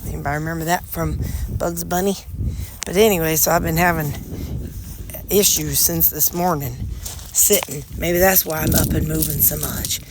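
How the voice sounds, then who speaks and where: A woman's voice: deep voice, fairly even intonation, normal speed, background noise. A middle-aged woman with a slightly nasal voice, chatting casually and rambling to her phone camera outdoors while pulling weeds. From Missouri, United States. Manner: rambling, chatty, folksy with frequent restarts. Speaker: vlogger. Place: outdoors beside a gravel bed and sidewalk. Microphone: phone held in hand.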